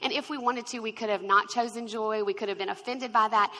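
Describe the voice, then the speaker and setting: A young woman in her twenties tells her story with energy, acting out the voices she quotes and laughing often. Her voice is bright and a touch high. A long hall reverb trails behind her. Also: fairly even intonation, a little fast. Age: 20s. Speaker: guest sharing her testimony. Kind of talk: animated storytelling.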